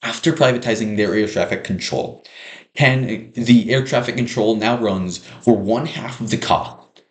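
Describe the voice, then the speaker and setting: Young male student speaker, slightly low pitch, earnest persuasive delivery, echoing room sound. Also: a little slow. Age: young adult. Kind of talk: prepared persuasive speech.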